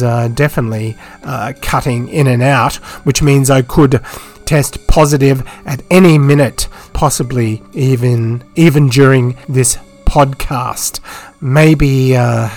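A man hosting a solo podcast, chatting off the cuff with a croaky, hoarse voice, rambling with many fillers and self-deprecating asides. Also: mid-range voice, a little mellow. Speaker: podcast host. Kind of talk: unscripted solo podcast chat. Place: home, isolating. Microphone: phone.

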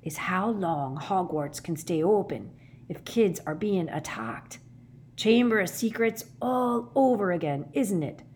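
A woman voicing a huge, shaggy, anxious man with a hoarse growl and slow rustic speech in a storybook. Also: fairly low pitch, expressive, darkish tone, slight background noise. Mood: worried, secretive.

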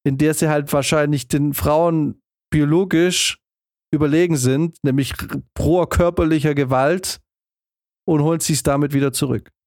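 A man's voice: a little high, fairly even intonation, moderate pace, darkish tone, no echo, studio quality. A man chatting informally as one of the hosts of a podcast. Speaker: podcast co-host. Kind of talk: casual chat.

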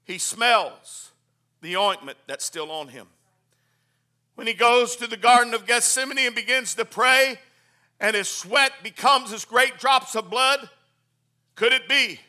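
A man preaching a Pentecostal sermon, swinging from hushed, quick asides to shouted hallelujahs. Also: very high-pitched. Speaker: pastor. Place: church.

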